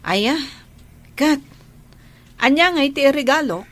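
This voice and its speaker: High, slow weary self-sacrificing daughter. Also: female voice, animated. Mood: reluctant, subdued. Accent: Filipino, Ilocano. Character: Mini, self-sacrificing sickly eldest daughter working abroad, weary. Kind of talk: radio drama acting.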